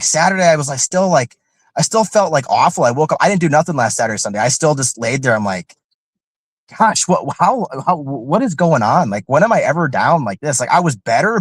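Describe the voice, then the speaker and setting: A man's voice: medium pitch, dry recording. Rambling, slightly nasal man co-host. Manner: rambling, heavy on filler "like". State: recovering from illness, slightly nasal. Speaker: returning co-host. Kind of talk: casual podcast chat.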